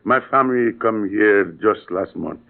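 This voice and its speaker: Halting Puerto Rican immigrant father, old radio drama. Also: on the low side, creaky. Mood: friendly, eager. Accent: Spanish Puerto Rican. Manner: halting broken English with Spanish interjections. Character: friendly, humble Puerto Rican immigrant father, eager and proud. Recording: tinny, band-limited old radio broadcast.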